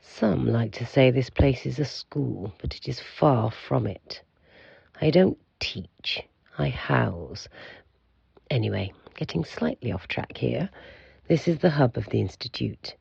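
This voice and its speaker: A man playing an aloof, pompous keeper of an institute for monsters in an audio drama, speaking in a formal, measured, curt way with a gravelly, husky voice. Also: mid-range voice, lively intonation, darkish tone, no room sound, dull audio, full character voice. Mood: formal, guiding.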